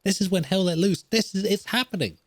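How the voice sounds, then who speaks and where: A man's voice: high voice, fairly quick, no echo. A man hosting a podcast with casual solo gaming commentary, rambling and opinionated, repeating points for emphasis. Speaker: podcast host.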